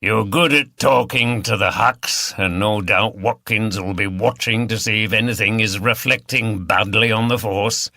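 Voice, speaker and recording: A man voicing a blunt, irritable police boss in an audiobook, grumbling and forceful. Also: lowish voice, measured pace, hardly any pauses, no echo, studio quality.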